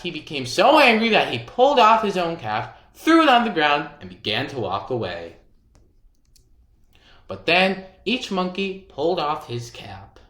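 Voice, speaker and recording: A storyteller reading a children's picture book aloud, expressively and deliberately, with dramatic emphasis. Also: mid-range voice, fairly bright tone, reverberant.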